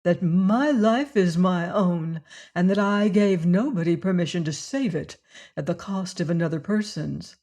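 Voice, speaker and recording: Narrator doing a proud young heroine, higher and louder than the narration, quick to flare; storybook reading. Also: close to an everyday voice. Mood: cold. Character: proud, grieving young woman, fierce temper. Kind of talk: audiobook character voice.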